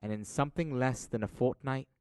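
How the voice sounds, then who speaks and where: A man narrating an audio drama from a script, telling the story in a measured, dramatic manner. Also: mid-range voice.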